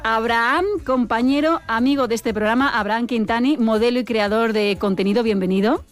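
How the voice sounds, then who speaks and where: A woman's voice: a little high, brisk, faint music behind. A woman with a Castilian Spanish accent hosting an informal talk show. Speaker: host.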